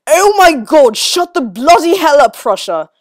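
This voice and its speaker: A woman's voice: medium pitch, lively intonation, normal speed, dark tone, close to an everyday voice. A woman doing an exasperated fussy young man. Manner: scolding, flustered. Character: exasperated young man fussing over children.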